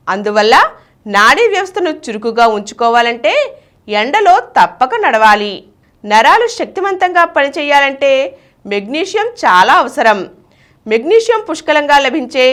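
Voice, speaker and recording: A woman's voice: high voice, fairly bright tone. A woman reading scripted news and short bulletins as a news reader.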